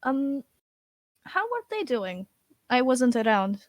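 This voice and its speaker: A woman's voice: high voice, big pitch swings, slow pace. A woman improvising in character as a player in a tabletop roleplaying game.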